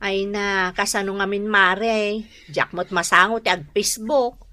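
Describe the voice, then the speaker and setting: A woman's voice: mid-range voice, fairly even intonation, a little slow, pushed and bright, no echo. A woman acting a busy, puzzled, mildly exasperated mother next door in a comedic radio drama, questioning and matter-of-fact, with an Ilocano Filipino accent.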